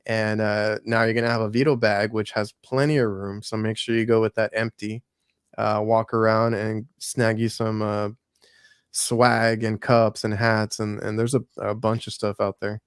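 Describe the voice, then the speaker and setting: 30s guy hosting a podcast, smooth voice, easygoing, repeats himself a bit, dry mic. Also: on the low side, flat delivery, moderate pace, dry recording. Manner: easygoing, repeats words while thinking. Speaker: podcast host. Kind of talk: casual podcast chat.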